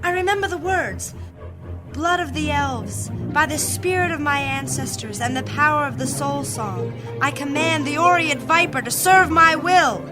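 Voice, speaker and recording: A woman's voice: sits high, moderate pace, music in the background, lightly acted. A woman playing an earnest young elven spellcaster in a fantasy audio drama, with a solemn manner. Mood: awed invocation.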